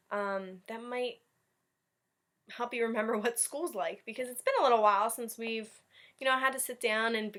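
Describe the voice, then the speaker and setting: Bright, higher-voiced woman teacher talking to kids. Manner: chatty, addresses young children directly, checking in with "right?". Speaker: elementary school teacher. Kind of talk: read-aloud storytime with commentary for children.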